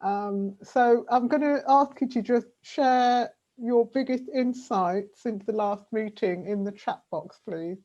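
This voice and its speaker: A woman facilitating an online meeting, brisk and encouraging and a little flustered as she manages the schedule. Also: on the high side, warm tone, dull audio.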